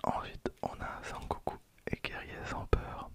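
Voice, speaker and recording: Whispering man, close-up ASMR. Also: unhurried. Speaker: ASMR video creator opening trading cards. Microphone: close handheld stereo recorder.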